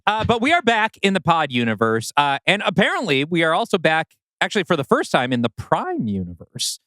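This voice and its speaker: A male podcast co-host chatting casually in a smooth, clear voice. His pitch moves around with plenty of animation as he banters wryly, and the recording is close and dry. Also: huge pitch swings, a little fast, sharp tone, no echo.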